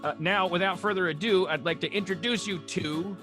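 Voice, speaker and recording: A man's voice: high-pitched, a little fast, music in the background. A man hosting a virtual table read in a casual, wry, rambling way and reading out stage directions. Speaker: host introducing cast and reading stage directions.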